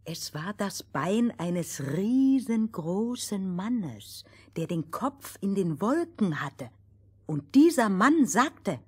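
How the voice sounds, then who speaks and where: A woman's voice: medium pitch, sing-song, slow, a little mellow, breathy edge, full character voice. A woman playing an eager, naive storyteller full of wonder, narrating a fairy tale for children. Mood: suspenseful.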